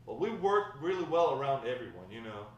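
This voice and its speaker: Grandfather role, read by a man: fond, halting storyteller at a table read, slightly higher male voice, distant mic. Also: very animated, highs cut off, lightly acted. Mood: rueful, confiding. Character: grandfather fondly recounting his wild, lovestruck youth. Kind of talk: table read of a dramatic script. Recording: echoey room, distant mic, faint noise.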